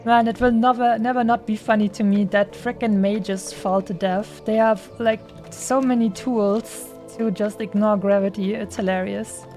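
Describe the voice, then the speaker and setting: A woman's voice: medium pitch, fairly even intonation, normal speed, hardly any pauses, a little mellow, faint music behind. A young woman from Germany with a German accent, chatting casually and playfully while streaming a game, often laughing and using fillers. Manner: giggly, playful, lots of fillers. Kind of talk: casual gameplay chat.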